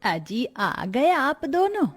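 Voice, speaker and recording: A woman's voice: high-pitched, big pitch swings, takes it slowly, faint music behind, heavily acted. A woman playing an anxious, dutiful woman of a poor family in a cartoon story.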